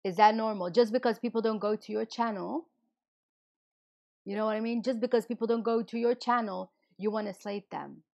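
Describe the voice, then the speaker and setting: A hoarse woman who is losing her voice hosts a livestream and rants indignantly, firing rhetorical questions at her viewers. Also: medium pitch, steady tone, brisk, darkish tone, muffled recording. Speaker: livestream host. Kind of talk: heated casual livestream rant.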